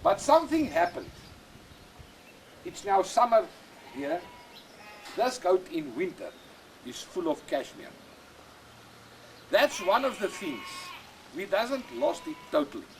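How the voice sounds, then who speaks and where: An older man with an Afrikaans accent, whose first language is Afrikaans, talking plainly and repetitively in halting English as a goat breeder outdoors on a farm. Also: high voice, big pitch swings, vocal fry, slight background noise. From South Africa. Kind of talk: casual explanation on a farm.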